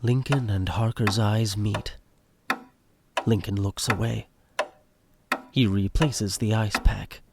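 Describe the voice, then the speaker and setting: A man's voice: on the low side, fairly even intonation, takes it slowly, creaky, long pauses, very noisy. A man reading scripted narration for an audio drama. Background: music.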